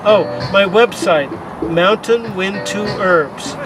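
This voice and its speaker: A man with a high voice talks calmly and plainly about his herbal work. Clear music plays behind him. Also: takes it slowly, poor audio. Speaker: native herbalist. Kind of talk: casual on-camera introduction.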